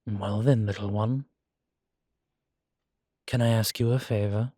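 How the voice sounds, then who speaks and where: A man playing a guilt-ridden, gentle and wry ancient vampire in an intimate audio roleplay, speaking in a halting, reflective way. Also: on the low side, fairly even intonation, no room sound, studio quality. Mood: resolved, courteous. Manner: halting, reflective, with sighs and soft chuckles. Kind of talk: intimate one-sided audio roleplay acting.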